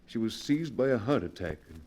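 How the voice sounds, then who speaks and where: A man's voice: on the low side, sing-song, brisk, a little mellow, husky. A man acting in an old-time radio drama as a formal, courteous, lonely recluse, genteel and insistent with a faintly sinister air. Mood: solemn.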